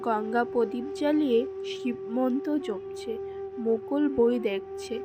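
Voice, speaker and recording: Slow, high-voiced woman narrator. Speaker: narrator reading scene directions. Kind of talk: scripted story narration for animation. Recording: music behind the voice. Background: music.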